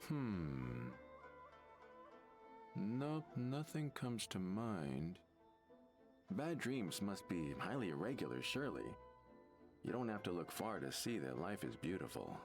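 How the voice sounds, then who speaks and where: An actor playing an easygoing fisherman who talks in a relaxed, unbothered way. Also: low voice, slow pace, pauses often, over music, exaggerated voice. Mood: carefree. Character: easygoing fisherman afraid of the sea. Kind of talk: game dialogue.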